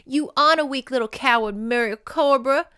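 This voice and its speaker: A woman doing a higher-pitched, loyal young lady's maid. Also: sing-song, measured pace, a bit bright, no echo, clean studio sound, full character voice. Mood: protective, pleading. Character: loyal, protective young lady's maid, best friend of princess. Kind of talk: dramatic romance novel reading.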